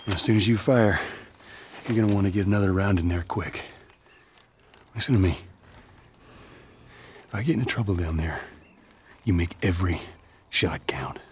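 An actor playing a gruff, guarded middle-aged survivor in a video game, with a Southern US accent and a terse, commanding manner. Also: male voice, sits low, normal speed, pauses often. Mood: steady, instructive. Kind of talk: video game cutscene acting.

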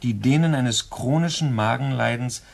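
An actor playing a dry, inquisitive gentleman guest in a crime radio play, pointed and pedantic. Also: mid-range voice, moderate pace, creaky. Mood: needling.

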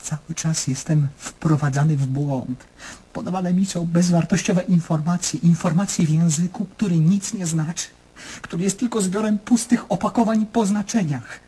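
An actor in a radio play voicing an anguished, self-questioning middle-aged man, brooding and intense, speaking in halting monologues. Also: high-pitched, mellow, slightly rough. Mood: despairing.

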